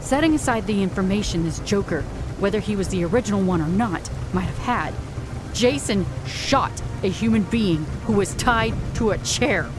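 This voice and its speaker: A woman's voice: mid-range voice, animated, moderate pace, music in the background, lightly acted. A woman playing a fierce, confrontational young crimefighting heroine in a comic dub. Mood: angry, accusing. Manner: insistent, confrontational.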